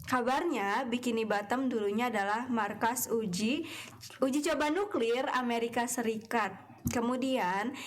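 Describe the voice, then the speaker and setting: A young woman co-hosting a campus radio chat, explaining theories in a relaxed storytelling way. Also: a little high. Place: radio studio.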